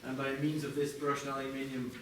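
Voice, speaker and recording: A man's voice: medium pitch, little pitch movement, brisk. Echoey, distant man giving a design presentation. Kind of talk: live product presentation to a group. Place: large echoey indoor venue, apparently a hotel. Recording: echoey noisy room, distant mic.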